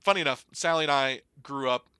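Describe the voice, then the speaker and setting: A man's voice: mid-range voice, fast talker, sharp tone, clean tone, no echo, clean studio sound. A young American man chatting casually, telling stories and explaining things in an informal, rambling way. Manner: informal, rambling, hedging with slang. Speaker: podcast guest, air traffic controller. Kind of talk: casual conversational storytelling and explanation.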